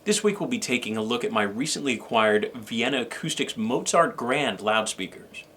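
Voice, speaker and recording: A man co-hosting a product review, delivering scripted information in a measured, somewhat formal way. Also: mid-range voice, animated, over loud music. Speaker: show co-host and reviewer.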